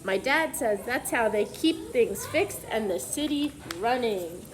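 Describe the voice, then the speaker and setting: A woman preschool teacher reading a picture book aloud to young children, pausing to ask them questions in an instructive way. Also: a little high, animated, a little hiss or hum, poor audio.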